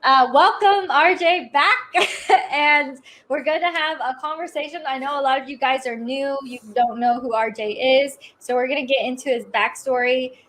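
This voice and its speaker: A woman's voice: sits high, moderate pace, a bit bright, a little hiss or hum. A woman hosting a live-streamed interview show. She talks in an upbeat, chatty way with frequent fillers. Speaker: host of a live online show.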